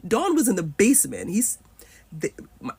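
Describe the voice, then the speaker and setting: Woman, 30s, candid storyteller, casual swearing, mid-pitched. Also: wildly swooping pitch, normal speed, gravelly, dark tone, husky. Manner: candid, swears freely, emotional retelling. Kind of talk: personal story told to camera.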